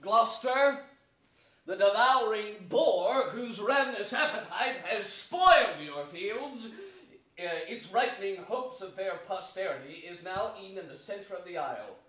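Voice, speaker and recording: A man's voice: sits high, animated, unhurried, fairly bright tone. Man playing a distant, echoing noble young commander. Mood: rousing. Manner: theatrical, declamatory. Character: noble, hopeful young commander rallying his troops. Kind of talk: staged reading of Shakespearean verse. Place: theatre stage before an audience. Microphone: far camera. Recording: echoey stage, distant microphone.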